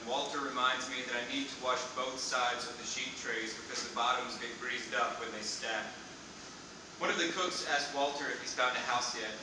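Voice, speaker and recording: A man in his thirties reads his own essay to a live audience at a university event, dry and self-deprecating in tone. His mid-range voice comes from far off the mic and rings around a strongly echoing room. Clear background noise runs under the whole recording. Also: mid-range voice. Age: 30s. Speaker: writer reading his essay. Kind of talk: reading a personal essay aloud to an audience.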